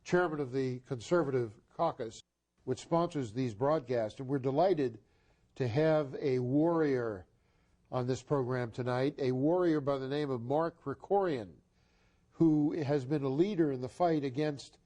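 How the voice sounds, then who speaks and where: Older guy hosting a studio interview, formal, mellow voice. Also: medium pitch, unhurried. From Boston. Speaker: talk show host.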